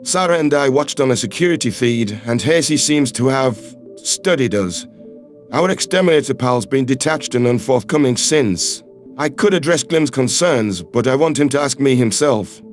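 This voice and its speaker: An easygoing, broad-shouldered human astronaut turned ambassador, as a male narrator puts him on, speaking low, relaxed and a little gruff. The voice sits well below the reader's own and carries a calm, grounded weight. He comes across as the steady presence of a sci-fi audiobook. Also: normal speed, faint music behind, close to an everyday voice. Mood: serious. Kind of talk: audiobook character voice.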